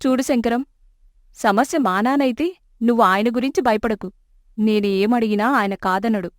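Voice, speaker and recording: A woman's voice: on the high side, normal speed, no room sound, clean studio sound, close to an everyday voice. A woman voicing a loving young daughter in a storybook tale, devoted and pleading.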